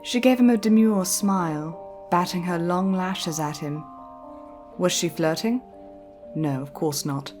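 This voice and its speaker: A woman with a slightly low voice narrates a dramatic tale. Her phrasing is deliberate and measured, like a scripted audio story. Soft music runs behind her.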